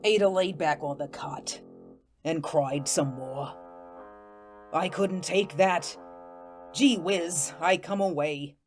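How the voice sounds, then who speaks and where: A woman's voice: low-pitched, soft background music. A woman playing a plainspoken, vengeful ghost who tells his tale in a folksy, colloquial way in an audio drama. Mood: wistful, aggrieved. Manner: folksy, colloquial, deliberate storytelling. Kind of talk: first-person audio drama narration.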